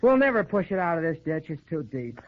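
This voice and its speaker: A take-charge young hero in old radio drama. His pitch is high and swings with urgency. He is brisk and commanding on a muffled recording. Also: very animated. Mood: determined. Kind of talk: 1940s radio acting.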